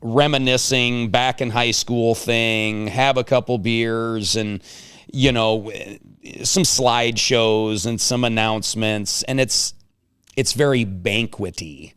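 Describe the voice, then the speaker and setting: Man, talk show host, smooth clear voice, playful banter, casual chat. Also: medium pitch, fairly even intonation, slow pace, a bit bright.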